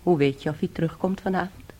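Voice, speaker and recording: An actress playing a weary, polite young niece in a radio play, subdued and husky. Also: female voice, fairly low pitch, quick pace, warm tone, natural voice. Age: young adult.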